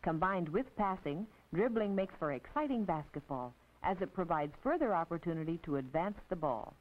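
An American woman narrating an instructional film as a teacher, in a precise, didactic, scripted manner with measured phrasing. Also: lowish voice, expressive. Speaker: narrator and physical education instructor. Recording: tinny, band-limited 1950s film soundtrack.

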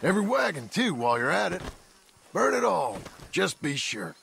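An actor playing a gruff, sardonic American cowboy outlaw with a gravelly voice in a western video game. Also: male voice, high voice, sing-song, normal speed, fairly bright tone, a little hiss or hum, exaggerated voice. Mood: sarcastic.

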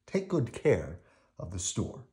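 A man voicing a calm, kindly adult male storekeeper in a children's storybook. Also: lowish voice, takes it slowly, full character voice.

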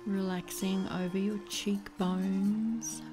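A woman leading a guided body scan meditation in a soothing, gentle way, leaving pauses between phrases. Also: medium pitch, level tone. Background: music.

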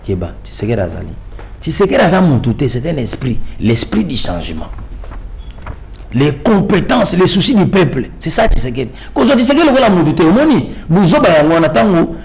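A man's voice: on the high side, very animated, a little fast, creaky, a little mellow, slight background noise. A middle-aged man with a Congolese accent in a televised political discussion, speaking emphatically and repeating phrases for stress. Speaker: political commentator guest. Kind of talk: televised political discussion in mixed Lingala and French.

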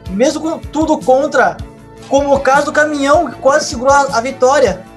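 A man's voice: very high voice, fairly quick, soft background music. A man playing a resentful, worldly campaign fixer in an audio drama, speaking bluntly.

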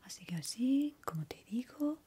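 A young woman in a gentle, chatty, reassuring ASMR roleplay as a barber, whispering breathily close to the microphone. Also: fairly high pitch, sing-song, moderate pace, slight background noise. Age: young adult.

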